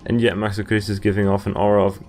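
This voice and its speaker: A young man with a fairly low voice hosts a casual game playthrough. He rambles a little, feeling his way through sentences and reading text aloud. Game music plays behind him. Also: monotone, fast talker, a little mellow. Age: young adult. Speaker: gaming video host.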